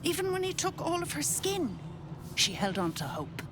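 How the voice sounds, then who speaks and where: A woman playing a knowing, ominous servant of a devil in a video game, with a deliberate, warning manner. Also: high voice, very animated. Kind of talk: video game character acting. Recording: clear background ambient noise.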